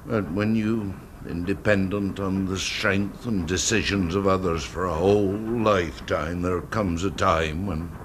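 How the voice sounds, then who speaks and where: A male actor playing a meek, bitter, grieving older blind man in a radio drama, speaking in halting phrases. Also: low-pitched. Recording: very noisy old radio drama recording.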